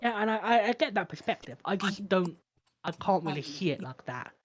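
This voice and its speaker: A casual podcast co-host with an ordinary, middle-pitched voice who keeps circling back to argue a point. The talk is relaxed and conversational, yet steadily persistent, breaking in with "but" and fresh counterpoints. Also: fairly quick, husky. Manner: argumentative, pushes back repeatedly. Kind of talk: casual film discussion podcast.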